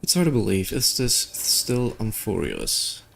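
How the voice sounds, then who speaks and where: A man's voice: lowish voice, wildly swooping pitch, unhurried, gravelly, a bit bright. A man who streams games, reading story dialogue aloud and commenting casually with offhand, skeptical asides. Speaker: gaming streamer. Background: game music.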